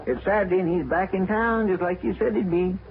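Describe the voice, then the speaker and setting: A stammering fretful deputy sidekick. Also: male voice, high-pitched, brisk, creaky. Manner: hesitant stammering drawl. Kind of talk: 1950s radio drama acting. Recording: tinny, hissy old radio broadcast.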